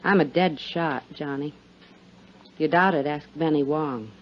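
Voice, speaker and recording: A woman's voice: deep voice, a little slow. A woman playing a scheming young femme fatale in a 1950s radio drama, speaking in short, pointed, dramatic phrases. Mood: cold, menacing. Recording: dull, slightly noisy old radio recording.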